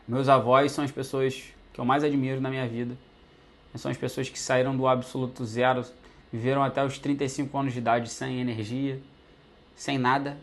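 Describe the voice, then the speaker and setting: A young man from Brazil telling his own life story to the camera in a casual, conversational way, often tagging sentences with "né". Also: medium pitch. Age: young adult. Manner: conversational, many fillers like "né" and "pô", addresses viewers directly.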